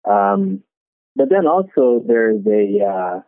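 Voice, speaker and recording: A man's voice: mid-range voice, sing-song, takes it slowly, vocal fry, no echo. A man who runs a business, answering interview questions in a casual, hesitant way with many restarts. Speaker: business owner being interviewed. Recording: tinny phone-like line, dry.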